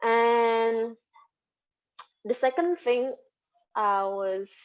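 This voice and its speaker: Hesitant Indonesian-accented woman, slow. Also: on the high side, a little mellow. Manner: hesitant, many fillers and restarts. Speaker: lecturer being interviewed. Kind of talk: casual interview answers. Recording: dull lo-fi recording.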